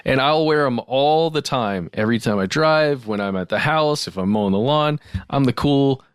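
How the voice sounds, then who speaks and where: A man's voice: medium pitch, moderate pace, clear, resonant tone, no echo, clean studio sound. A man co-hosting a podcast, telling casual anecdotes in a playful way. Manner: playful, animated storytelling. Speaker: podcast host.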